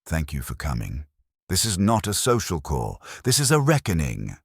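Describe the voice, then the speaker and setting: A man as pompous master detective, very deep, dry sound, commanding, formal, animated intonation, audiobook mystery. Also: normal speed, gravelly, slightly rough, no echo, heavily acted. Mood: grave, commanding. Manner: formal, weighty, accusing.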